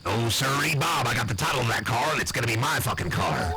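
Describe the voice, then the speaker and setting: A man's voice: medium pitch, quick pace, over loud music, natural voice, low-quality recording. A man voicing a blustery, nervous backwoods uncle with an American accent, rambling and swearing in an improvised comic monologue. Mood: agitated, wary. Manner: rambling, folksy, profane, excitable.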